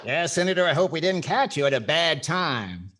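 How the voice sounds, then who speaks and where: A man's voice: on the high side, very animated, normal speed, pushed and bright. An older man playing a wry, wisecracking comedy host in a 1940s radio style. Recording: clear background noise and clicks.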